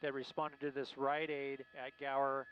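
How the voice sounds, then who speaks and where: A man reports live from a news helicopter in a halting, unscripted style. His mid-pitched voice stays fairly flat. The sound is muffled, with faint noise around it. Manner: halting, searching delivery.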